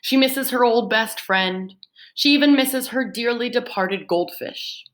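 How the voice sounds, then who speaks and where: A woman teacher reading book summaries aloud to her students in an expressive storytelling manner. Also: unhurried. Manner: lively, expressive read-aloud with swooping pitch.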